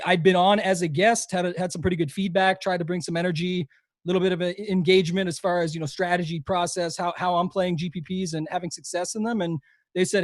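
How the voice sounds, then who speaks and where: A man's voice: high-pitched, no echo, clean studio sound. A young man with a Canadian accent, talking eagerly and rambling as a podcast guest in a casual interview. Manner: eager, rambling, frequent fillers and restarts. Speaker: guest, fantasy golf podcaster.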